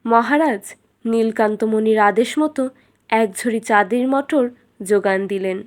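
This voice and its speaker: A woman's voice: a little high, normal speed, a little mellow. A woman reading scripted narration as the storyteller of a children's fairytale.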